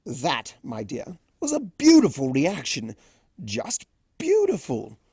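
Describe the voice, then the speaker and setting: A performer voicing a male chaos creature, a smug and theatrical trickster who teases in drawn-out phrases, for a story reading. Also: mid-range voice, huge pitch swings, warm tone, slightly rough, no room sound, studio quality, heavily acted. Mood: proud, smug. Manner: drawn-out, theatrical, teasing delivery.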